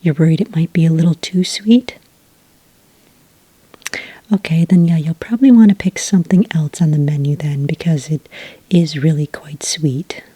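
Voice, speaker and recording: A woman plays a friendly cafe witch in an ASMR roleplay. Her voice is low, mellow and breathy, mostly a soft whisper. She explains things gently and patiently, close and dry. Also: steady tone, normal speed, long pauses, dry recording. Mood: patient, gentle. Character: friendly helpful witch serving at a magical cafe. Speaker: cafe server taking orders.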